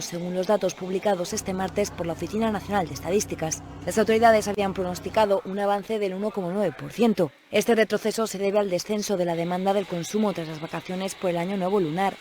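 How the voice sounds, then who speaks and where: A reporter reading a scripted news report voice-over in an even, formal manner. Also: medium pitch, slight background noise.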